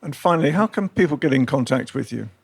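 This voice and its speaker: A male interviewer with a creaky, gravelly voice at a middling pitch. He puts questions to a guest, and the sound is dry, free of room echo. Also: lively intonation, fast.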